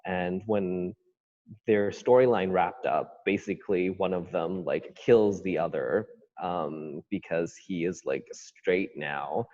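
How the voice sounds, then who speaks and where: A man with a notably deep voice talks through his upbringing in a halting, reflective way, often stopping to search for words. The sound is dull and carries a long reverb. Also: darkish tone. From Canada. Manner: hesitant, thoughtful, many fillers. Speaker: author guest. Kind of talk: podcast interview.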